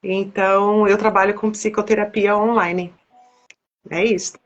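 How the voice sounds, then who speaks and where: A woman's voice: medium pitch, level tone, unhurried, dull audio. A woman with a Brazilian Portuguese accent, a psychotherapist guest chatting casually on a live stream. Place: online video call.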